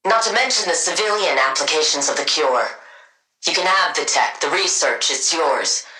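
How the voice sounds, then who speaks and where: A woman playing a cold, sardonic scientist villain in a video game, speaking in a dry, clipped deadpan. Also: low voice, normal speed, echoey room, low-quality recording. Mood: measured, guarded. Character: cold, sardonic female genius scientist villain devoted to her wife.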